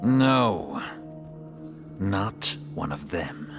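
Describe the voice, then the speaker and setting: A man playing a sly, teasing dark sorcerer in a video game, with a smug, deliberate manner. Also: sits low, sing-song, exaggerated voice. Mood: wry, taunting. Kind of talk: video game cutscene acting. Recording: music under the dialogue. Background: music.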